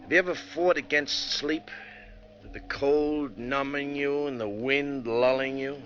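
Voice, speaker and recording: A man's voice: medium pitch, measured pace, fairly bright tone, lo-fi sound, soft background music, close to an everyday voice. A man playing a wry, tough bus driver who narrates his own story in 1940s radio drama style. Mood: grim, storytelling. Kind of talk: 1940s radio acting, first-person narration. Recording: thin, band-limited 1940s radio recording.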